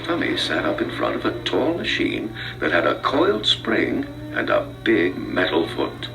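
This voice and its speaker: A male narrator tells a children's storybook tale with very animated, dramatic intonation. His voice has some creak to it, and prominent music plays underneath. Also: fairly low pitch, unhurried, poor audio. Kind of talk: scripted read-along children's narration.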